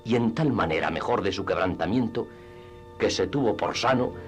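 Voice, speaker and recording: A man's voice: fairly low pitch, animated, moderate pace, soft background music. A man narrating a classic literary tale for radio theatre in a scripted storytelling style.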